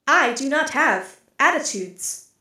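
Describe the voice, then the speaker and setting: A woman playing a literal-minded female AI archive computer in an audio drama, speaking in a flat, formal, deliberate way. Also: sits high, roomy sound, heavily acted. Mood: literal, dry. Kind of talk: scripted audio drama acting.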